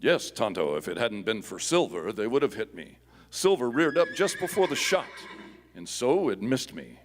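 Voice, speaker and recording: An actor playing a steadfast, commanding masked Western hero in a live radio play, with formal, resolute phrasing. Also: male voice, mid-range voice, big pitch swings, normal speed, long reverb tail. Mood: urgent.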